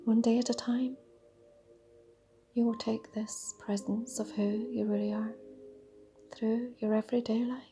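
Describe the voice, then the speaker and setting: A woman leading a guided meditation in a dreamy, soothing, half-whispered voice with drawn-out pauses. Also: fairly high pitch, level tone. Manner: breathy, half-whispered delivery. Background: soft sound bath music.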